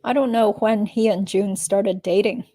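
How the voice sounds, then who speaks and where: A woman reading the part of a guarded witness at a deposition, answering curtly in a flat tone. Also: medium pitch, a little slow, lightly acted. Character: guarded female witness giving minimal answers. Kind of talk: dramatic reading of a legal transcript.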